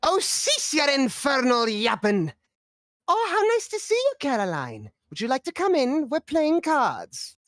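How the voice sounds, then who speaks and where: Woman as dotty elderly actress, highly animated, higher-pitched, theatrical, bossy, dry close recording. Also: measured pace, no echo, heavily acted. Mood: bossy, scolding, then brisk, hospitable. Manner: theatrical, scolding. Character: dotty, bossy elderly former actress, fussing over neighbour. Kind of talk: screenplay table read.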